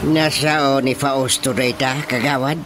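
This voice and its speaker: A man's voice: medium pitch, fairly even intonation, unhurried, music in the background, close to an everyday voice. A man playing a blustering, exclamatory village chairman in an Ilocano-accented radio comedy drama. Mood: indignant. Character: blustering male barangay chairman, quick to exclaim.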